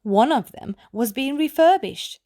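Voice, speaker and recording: Woman reading aloud, lively intonation, dry sound. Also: high-pitched, unhurried, dry recording. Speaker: reader of children's poetry. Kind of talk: scripted read-aloud poetry narration.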